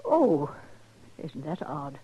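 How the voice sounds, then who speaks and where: Husky, imperious old lady. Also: sits low, big pitch swings, slow pace, warm tone, lo-fi sound, full character voice. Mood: coolly formal. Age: older. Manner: formal, haughty, precise. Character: strong, proper old Eastern lady, imperious and direct. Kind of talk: 1950s western radio drama acting.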